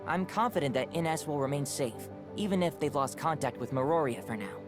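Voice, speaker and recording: A young male squad leader from a video game, polite and composed. The voice is low, with formal, confident delivery. Music plays clearly underneath. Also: expressive, fairly quick. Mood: polite, assured. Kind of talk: scripted video game dialogue.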